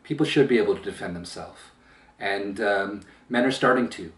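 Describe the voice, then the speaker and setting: A man giving an emphatic opinion monologue as a channel host, with a powerful voice that swells louder and slow, deliberate phrasing with pauses. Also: fairly low pitch, slight background noise. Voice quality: powerful voice that gets loud when upset. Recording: roomy echo, distant from mic.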